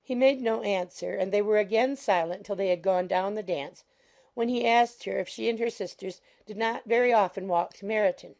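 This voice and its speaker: A woman's voice: mid-range voice, normal speed, creaky, a little mellow. A woman narrating a classic novel in a scripted, literary storytelling style. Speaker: audiobook narrator.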